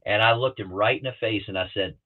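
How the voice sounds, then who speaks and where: A man telling rambling, animated pilot stories on a talk show, with many restarts and acted-out quotes, heard over a remote call. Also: on the low side, fast. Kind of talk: casual storytelling on a talk show. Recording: tinny phone-like line.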